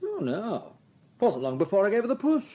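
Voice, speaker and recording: A disdainful hotel proprietor in a radio drama. His high, slightly husky voice swoops dramatically, full of irritable sarcasm and self-assurance. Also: huge pitch swings, normal speed, warm tone, dull audio, full character voice. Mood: sarcastic, irritated.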